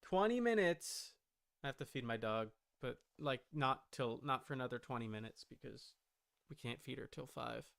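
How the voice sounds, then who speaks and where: A man's voice: medium pitch, lively intonation, moderate pace, creaky, fairly bright tone. A man chatting casually as he streams a game, rambling and repeating his phrases as he reacts to play. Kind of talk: casual live gameplay chat. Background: game audio.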